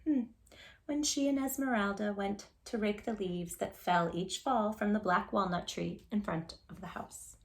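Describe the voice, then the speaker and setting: Female storytime reader, creaky mid-pitched voice, playful, animated, expressive picture-book delivery, talks straight to kids. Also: unhurried. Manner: playful, animated, addressing children directly. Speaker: children's storytime reader and host. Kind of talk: expressive picture-book read-aloud with asides to young listeners.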